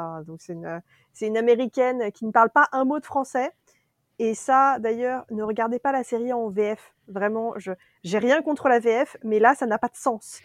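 A French woman co-hosting a casual podcast, chatty and animated, laughing often as she reviews a series. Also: on the high side, dry recording, studio quality. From France.

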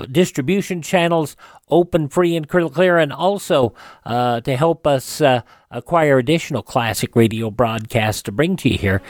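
A man's voice: mid-range voice, no echo, clean studio sound. An American man hosting a radio show, delivering announcer-style sign-off talk.

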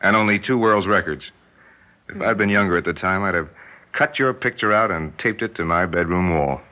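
An actor playing a self-assured, persistent suitor in a 1960s radio drama, teasing and probing in an easy way. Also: male voice, sits low, moderate pace, lightly acted. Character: confident, teasing, persistent male suitor and sportsman. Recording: dull 1960s radio recording.

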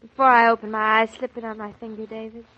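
A playful young newlywed wife, voiced by an actress, bright and dreamy, with a slightly high voice. She teases and muses in turn. The old radio transcription sounds dull and muffled. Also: level tone, quick pace, close to an everyday voice. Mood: tender. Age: young adult. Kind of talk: 1940s radio acting.

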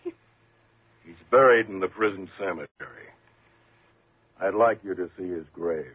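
An actor playing a calm, measured, patronizing prison warden in a 1950s radio thriller. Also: male voice, deep voice, heavy background noise. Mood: grave, firm. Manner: measured, reassuring, condescending. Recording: muffled, lo-fi 1950s radio recording.

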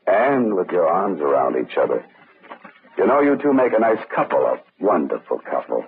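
Very deep-voiced wry radio detective. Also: male voice, big pitch swings, moderate pace, slight background noise. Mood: grimly sardonic. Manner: wisecracking, dry, clipped. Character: wry, cool-headed male mystery writer turned amateur detective. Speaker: radio actor playing the lead. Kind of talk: old-time radio detective drama acting, first-person narration. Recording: tinny old radio recording under loud music.

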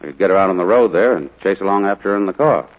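A man's voice: sits low, animated, racing, poor audio. A man acting a stern, practical rancher father in a 1940s radio drama, blunt and commanding. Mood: brisk, confident. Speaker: actor in a radio play. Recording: vintage 1940s radio recording, music at times.

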